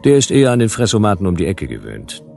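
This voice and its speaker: A man's voice: fairly low pitch, wildly swooping pitch, fast talker, gravelly, a little mellow, faint music behind, full character voice. An actor playing a world-weary, wry private detective who narrates his case in laconic, sardonic phrases in a radio play. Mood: dry, sardonic. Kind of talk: hard-boiled radio drama narration.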